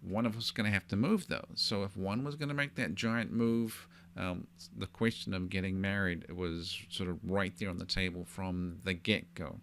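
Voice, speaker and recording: A man's voice: sits low, steady tone, moderate pace, hardly any pauses, fairly bright tone, slight background noise. A middle-aged man with a New Zealand accent, hosting a podcast and giving relationship advice in a thoughtful, conversational solo monologue. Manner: thoughtful, conversational, with frequent hedging fillers.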